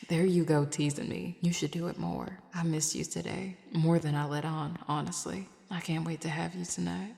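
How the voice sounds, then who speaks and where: A woman's voice: low voice, fairly even intonation, normal speed, vocal fry, a little mellow, slightly rough, exaggerated voice. A woman voicing a flirty, affectionate Southern werewolf in an intimate audio roleplay, with a casual, teasing Southern US drawl. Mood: tender, flirty. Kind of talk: intimate audio roleplay, one-sided conversation.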